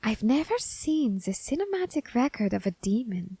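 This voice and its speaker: A woman playing a scholarly, quietly menacing Grim Reaper in an ASMR roleplay, speaking in formal, deliberate phrases with pauses. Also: on the high side, big pitch swings, slightly rough, no room sound, exaggerated voice. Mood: softly menacing. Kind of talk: ASMR character roleplay audio drama.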